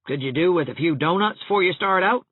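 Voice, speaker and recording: A woman's voice: lowish voice, animated, fast talker, slightly rough, dry recording, phone-quality sound, pitched above the performer's own voice. A woman voicing a blunt, folksy, scolding older countrywoman who is easily affronted, in a storybook reading. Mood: rebuking.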